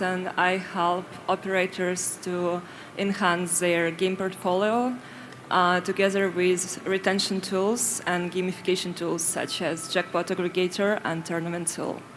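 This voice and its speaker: A woman in business development introducing herself on a conference panel, brisk and friendly. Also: medium pitch, a little hiss or hum. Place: conference stage.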